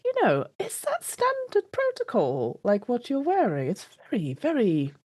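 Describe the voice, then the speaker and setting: A woman's voice: fairly high pitch, huge pitch swings, unhurried, a little mellow, slight background noise, pitched above the performer's own voice. A woman playing a nosy, flustered investigator in an improvised tabletop roleplay, hesitant and rambling as she breezily bluffs. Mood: nosy, breezy.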